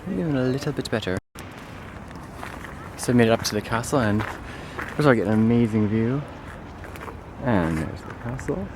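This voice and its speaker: A man's voice: medium pitch, noisy background. Rambling young Canadian man vlogging. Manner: rambling, self-correcting, thinks aloud. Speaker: travel vlogger. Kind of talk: casual travel vlog. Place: outdoors in a park and indoors at an apartment.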